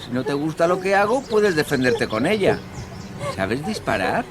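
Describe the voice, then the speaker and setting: An actor with a Castilian accent playing a calm, manipulative young killer in a radio drama, coaxing and falsely reassuring. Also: male voice, fairly high pitch, normal speed. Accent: Castilian Spanish. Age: young adult. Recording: music bed under the voice.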